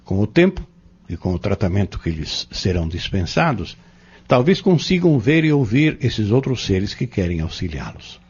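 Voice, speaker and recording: Man playing a low-voiced, husky Brazilian spiritual mentor. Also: big pitch swings, a little mellow, full character voice. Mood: earnest, instructive. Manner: formal, measured, preacherly. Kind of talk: audio drama acting, didactic monologue. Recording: dull lo-fi audio.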